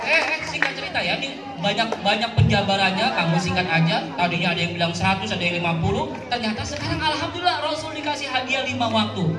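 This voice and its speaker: A woman's voice: on the high side, fast talker, hardly any pauses. A woman telling a religious story to children, asking her listeners questions and repeating key words. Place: outdoor mosque yard event over loudspeakers. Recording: echoey PA, distant, with crowd and music.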